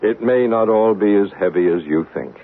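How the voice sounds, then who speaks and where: A man playing a calm, probing ghost investigator. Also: lowish voice, normal speed, lightly acted. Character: calm male parasensory investigator, adult. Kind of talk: 1970s radio drama acting. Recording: thin phone-like old radio broadcast with faint hiss.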